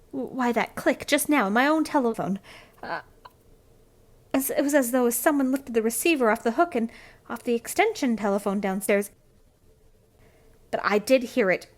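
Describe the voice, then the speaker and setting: A woman playing a frightened wife on the telephone in an old-time radio thriller, breathless, stammering and pleading. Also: fairly high pitch. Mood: agitated, then hushed dread. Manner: breathless, stammering, gasping, melodramatic.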